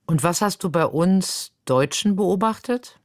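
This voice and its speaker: A woman's voice: on the low side, expressive, unhurried, no echo. Female moderator with a very dry sound.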